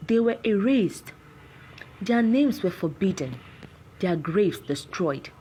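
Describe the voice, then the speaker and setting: An anguished, cursed villager played by a woman storyteller pleads his case in a folktale. He is grave and vengeful, speaking slowly in a mellow tone with dramatic swings of pitch. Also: animated, a little hiss or hum. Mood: sorrowful, bitter.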